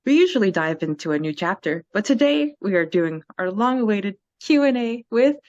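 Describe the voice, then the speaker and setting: A woman's voice: mid-range voice, animated, normal speed, a little mellow, dry recording, muffled recording. A woman co-hosting a casual fan podcast, upbeat and giggly as she reads listener questions. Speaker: podcast co-host.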